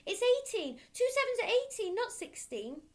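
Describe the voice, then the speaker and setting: A woman's voice: very high voice, big pitch swings, normal speed, a little mellow, voice pushed up. A woman voicing a frightened, helpless small schoolboy who squeals and stammers in a storybook. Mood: panicky.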